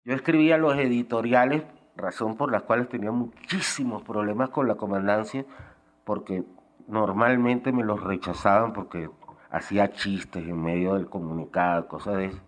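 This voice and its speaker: A man's voice: lowish voice, moderate pace. A man casually recalling his past work in an interview, as a former radio writer.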